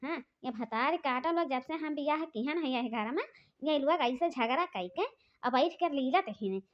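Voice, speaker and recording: A woman's voice: high voice, animated, moderate pace. A woman voicing a quarrelsome, earthy village sister-in-law in a cartoon comedy, scolding and complaining with an Awadhi accent. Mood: grumbling. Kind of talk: rustic cartoon comedy dialogue. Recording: dull lo-fi sound.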